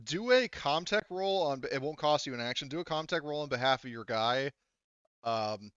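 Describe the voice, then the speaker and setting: A man's voice: medium pitch, normal speed, clean tone, no room sound, highs cut off. A man refereeing a tabletop roleplaying game as game master, talking casually with swearing and frequent laughter as he explains the rules. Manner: animated, laughs often while explaining rules.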